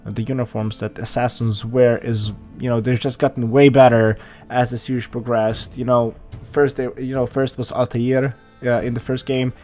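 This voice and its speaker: A man's voice: medium pitch, level tone, moderate pace, soft background music. A man casually talking over his drawing process, rambling with lots of fillers and restarts. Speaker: art channel host. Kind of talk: casual voice-over commentary on a sketch.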